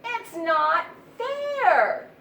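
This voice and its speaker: A woman voicing a small, hungry mouse from a children's storybook in a squeaky, exclaiming way. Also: very animated, extremely slow, vocal fry, fairly bright tone, lo-fi sound, full character voice. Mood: indignant. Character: small hungry female mouse and her worried friends. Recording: roomy, distant mic, noisy background.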